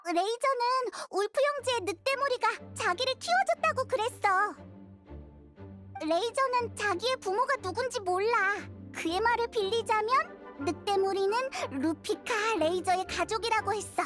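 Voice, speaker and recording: Tiny fairy sidekick, very high squeaky voice, excitable, childlike, anime game, prominent music behind. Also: female voice, normal speed. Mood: curious. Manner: bubbly, exclamatory. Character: small cheerful floating fairy companion girl, childlike, excitable. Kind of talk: Korean anime game voice acting.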